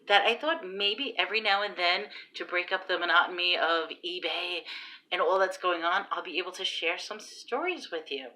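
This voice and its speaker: A woman's voice: medium pitch, low-quality recording. A woman in her thirties with a New York Long Island accent, telling stories in a casual vlog, recorded on a phone at arm's length in a small room. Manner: animated, exclamatory storyteller. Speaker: vlogger and online seller.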